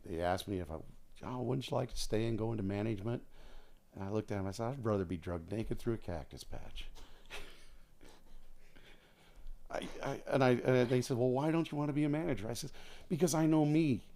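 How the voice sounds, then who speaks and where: A man's voice: fairly low pitch, dark tone. An American man in his sixties talks casually as a podcast guest, telling stories and repeating phrases for emphasis. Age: 60s. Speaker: podcast guest, retired air traffic controller. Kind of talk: casual conversational storytelling.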